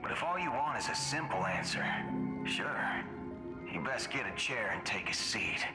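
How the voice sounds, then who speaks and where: A man's voice: medium pitch, animated, moderate pace, lightly acted. A man playing a cocky, menacing gunslinger ranger in a video game, with a taunting, swaggering drawl. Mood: wry. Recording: game music underneath.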